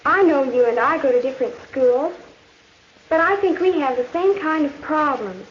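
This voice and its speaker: A young woman playing an earnest high school girl with an American accent, narrating a 1950s educational film in a conversational way. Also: animated, moderate pace. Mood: earnest, confiding. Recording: muffled, noisy 1950s film soundtrack.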